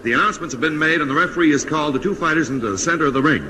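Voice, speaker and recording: A man playing a brisk radio boxing commentator in a 1950s radio comedy. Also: on the high side, lightly acted. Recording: noisy old radio broadcast recording.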